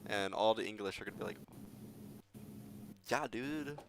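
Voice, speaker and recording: A man with a gravelly voice running a tabletop game on stream, chatting casually in a rambling, joking way and acting out imaginary dialogue. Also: on the low side, moderate pace, noisy background. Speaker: game master.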